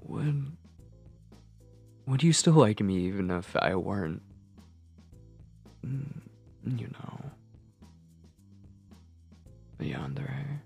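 A man's voice: fairly low pitch, big pitch swings, takes it slowly, creaky, pauses often, a little mellow, soft background music, heavily acted. A man playing a shy, obsessive lovestruck man on a date in an ASMR roleplay, speaking in a hesitant, intimate, flustered way. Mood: smitten, hesitant.

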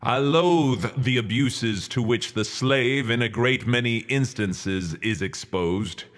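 A man performing a dramatic reading as a stern, resolute 19th-century religious leader, orating in a formal, measured, declamatory manner. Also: medium pitch, a bit bright. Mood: stern, insistent. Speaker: reader.

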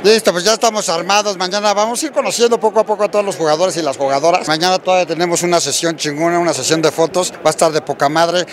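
A man's voice: high voice, rapid-fire, hardly any pauses. A man with a Mexican accent interviewing people casually, brash, teasing and full of slang and swearing, on a handheld mic. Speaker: host interviewing players. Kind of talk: joking, casual sideline interview. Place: large indoor arena event floor. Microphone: handheld microphone. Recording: noisy indoor event venue.

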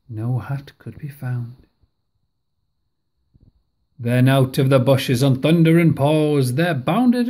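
Lady reading a kids book out loud super slow, voice goes up and down a lot, phone mic at home. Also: deep voice. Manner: expressive, dramatic read-aloud. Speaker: storybook reader. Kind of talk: reading a picture book aloud.

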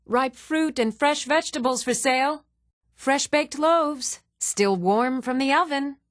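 An actress playing an even-toned townswoman and shopkeeper in a fantasy video game, speaking in measured, clearly enunciated, theatrical phrases. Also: high voice, dry recording, clean studio sound. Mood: hawking wares. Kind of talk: scripted video game voice acting.

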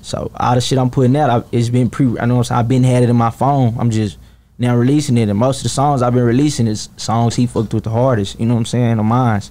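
A man in his early twenties talks in a laid-back, rambling way on a podcast studio mic. His tone is mellow and dark. He keeps asking "you know what I'm saying". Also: mid-range voice, level tone, fast talker. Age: 20s. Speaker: rapper guest. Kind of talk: casual interview talk. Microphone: studio mic, headphones.

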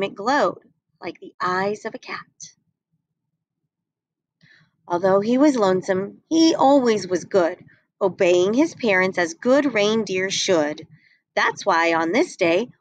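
A woman's voice: a little high, no echo, low-quality recording. A young woman reading a picture book aloud, expressively, on a webcam at home. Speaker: reader.

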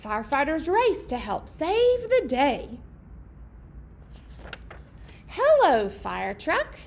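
A woman's voice: high voice, wildly swooping pitch, slow, vocal fry, slight background noise. A woman in her thirties hosting a children's storytime, talking and reading aloud in simple, encouraging phrases to young listeners. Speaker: children's librarian, storytime host. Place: indoor library program room.

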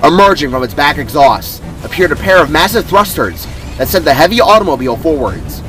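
A man narrates a fantasy adventure with emphatic drama. His voice sits a little high and moves in animated swings. Faint music plays behind him. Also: a little fast, poor audio. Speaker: narrator reading own story.

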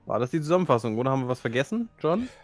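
A man's voice: medium pitch, very animated, rapid-fire, no echo, soft background music. A man who plays in a tabletop role-playing game, chatting and recapping the session casually.

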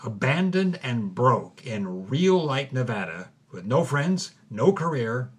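A man with a husky, mid-pitched voice hosts a scripted podcast. He narrates with dramatic emphasis, leaning into the old documents he reads aloud. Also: sing-song, slow. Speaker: host.

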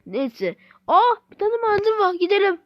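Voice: extremely high pitch, sing-song, a little slow, dry recording, lo-fi sound. A video game YouTuber giving rambling, exclamatory let's play commentary, often repeating phrases and talking straight to viewers.

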